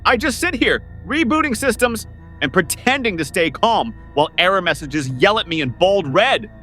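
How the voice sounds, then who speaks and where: A man's voice: sits high, big pitch swings, normal speed, bright, forceful tone, faint music behind, full character voice. A man voicing a stubborn, long-suffering keyboard key in a comedic puppet show, emphatic and exasperated. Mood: exasperated, indignant. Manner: emphatic, exasperated, clipped declarations.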